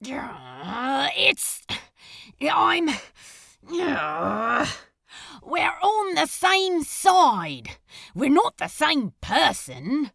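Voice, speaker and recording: Irritable male demon, played by a woman, creaky and sharp, exasperated, swinging widely in pitch. Fan audio drama. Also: very animated, drawn-out words, dry recording, studio quality, full character voice. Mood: indignant. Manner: exasperated, dramatic delivery with growls. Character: irritable, snarling male demon, defensive about his car.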